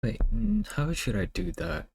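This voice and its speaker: A man whose first language is Cantonese, chatting casually on a livestream while he draws, musing aloud softly with many fillers. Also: fairly high pitch, very animated, unhurried, gravelly, slight background noise. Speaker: streamer.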